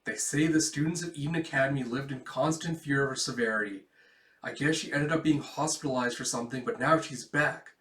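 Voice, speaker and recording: A courtly male schoolmaster, voiced by a man telling a story speaks with formal, measured politeness. He is elegant and a little cautious. The room gives the voice a strong echo. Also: medium pitch, a bit bright, recorded from a distance, natural voice. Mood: polite, measured. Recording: echoey room.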